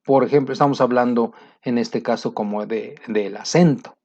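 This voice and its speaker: Latin American man teaching, mellow muffled voice. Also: mid-range voice. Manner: conversational, pausing often with fillers. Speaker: English teacher. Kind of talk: motivational video lesson. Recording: muffled lo-fi recording.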